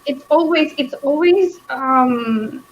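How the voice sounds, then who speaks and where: A woman with a fairly high voice hosts a casual book chat. She feels her way through her thoughts aloud, hesitating as she goes. Noticeable background noise sits behind her voice. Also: slow, muffled recording. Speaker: book club host.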